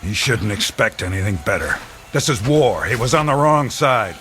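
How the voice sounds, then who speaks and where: An actor playing a callous, mocking soldier and executioner in an old-time radio drama, with a hard, forceful manner. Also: male voice, fairly low pitch, sing-song, normal speed, a little hiss or hum. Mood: hard, cold. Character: callous, sneering male soldier, a taunting executioner.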